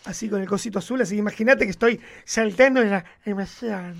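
A man's voice: high voice, vocal fry. A man with an Argentine accent co-hosting a podcast, chatting playfully and teasing his partner. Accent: Argentine Spanish. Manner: playful, teasing, quick to joke. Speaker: co-host. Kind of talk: casual podcast chat.

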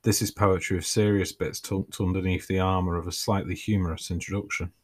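A man hosting his own podcast, reading his writing aloud in a relaxed way with dry, self-mocking humour. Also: low voice, fairly quick. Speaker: podcast host and author.

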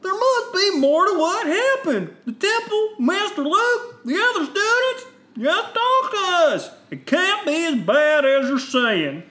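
Shrill, beseeching old Jedi woman. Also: very animated, unhurried, pushed and bright, echoey room, exaggerated voice. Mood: shocked pleading. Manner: melodramatic falsetto pleading.

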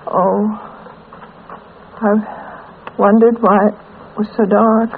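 Weak dying mom, old radio drama, real slow, tender, hissy muffled recording. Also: female voice, mid-range voice, flat delivery. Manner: weak, halting, drawn-out speech. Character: dying, weary, tender mother of six children. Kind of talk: 1940s radio drama acting.

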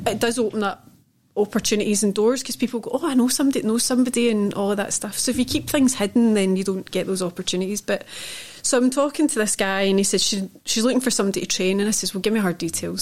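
A woman with a thick Scottish accent, a guest telling long rambling stories in a casual chat. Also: medium pitch. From Scotland. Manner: chatty, rambling storyteller.

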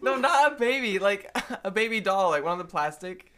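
A man's voice: sits high, animated, a little fast, fairly bright tone. A young man co-hosting a casual podcast, goofy and self-deprecating, laughing often. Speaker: podcast co-host. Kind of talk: casual chat.

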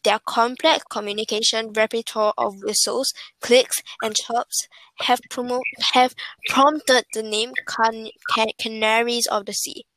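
A woman co-hosting a podcast, reading facts aloud in a halting way and tripping over words. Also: a little high, fairly even intonation, a bit bright, no echo, poor audio. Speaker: co-host.

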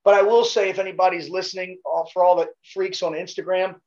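A man's voice: high-pitched, fairly even intonation, fast, darkish tone, highs cut off. A middle-aged man with a Baltimore accent, a podcast guest telling laid-back, profane stories in casual chat. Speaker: podcast guest, former Navy SEAL.

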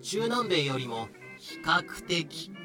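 A man playing a dry, pedantic explainer who delivers clipped, deadpan asides and history notes in a scripted voice drama. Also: a little high, slow, exaggerated voice. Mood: explanatory. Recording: music behind the voice.

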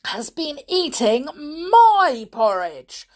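A woman voicing a big, angry father bear from a storybook, with a slow, forceful growl. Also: sits high, big pitch swings, pitched above the performer's own voice, heavily acted.

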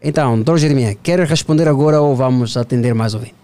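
Clear-voiced Angolan male radio host. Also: mid-range voice, fast, mellow, soft background music. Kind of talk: conversational radio phone-in hosting. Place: radio studio.

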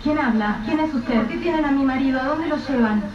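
Distraught mom, played by a woman in a radio play, anguished pleading, higher voice, echoey and far from the mic. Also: a little fast, a crowd in the background, natural voice. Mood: desperate. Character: distraught adult mother whose husband was taken. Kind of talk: radio drama acting. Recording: echoey, distant, dull lo-fi with faint hiss.

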